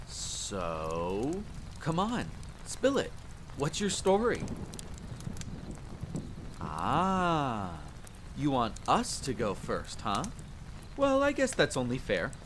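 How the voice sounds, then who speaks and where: Man acting an eager, animated monster hunter. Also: medium pitch, noisy background. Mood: playful, chatty. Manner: playful, dramatic, self-deprecating, hesitant. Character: eager, sentimental, chatty young male monster hunter. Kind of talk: audio roleplay acting. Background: faint rain, campfire ambience.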